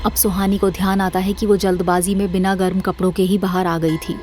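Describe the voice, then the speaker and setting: A woman's voice: mid-range voice, level tone, brisk, a little mellow, faint music behind. A woman narrating a scripted audio drama as its storyteller.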